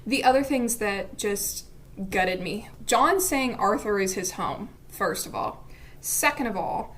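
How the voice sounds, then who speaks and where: Woman, mid pitch, listener voice message, emphatic casual fan commentary, faint background noise. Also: animated, measured pace, fairly bright tone. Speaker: listener sending in a voice submission.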